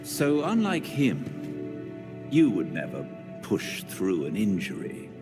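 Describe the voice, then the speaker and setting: An actor playing a refined, measured older butler and gentle mentor in a video game cutscene. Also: male voice, on the low side, huge pitch swings, music in the background, full character voice. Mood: gently chiding. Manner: formal, measured.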